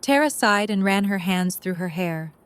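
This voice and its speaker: A woman's voice: medium pitch, expressive, normal speed, smooth. A woman reading scripted story narration as the narrator of an audio drama.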